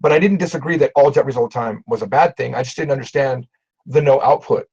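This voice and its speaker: A middle-aged man with a gravelly, husky voice talks over a video call in a loose, animated way, laughing at himself. The sound is muffled and lo-fi, like a streamed call. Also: medium pitch, fast. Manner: animated, self-deprecating, swears casually. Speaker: Japanese teacher and show host. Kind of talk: casual livestream conversation.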